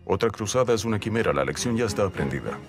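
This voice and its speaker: Casting: a stern young sultan, very low voice, measured decrees, music faint behind. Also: male voice, expressive. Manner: slow, authoritative pronouncements. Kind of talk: Spanish dub of a historical series.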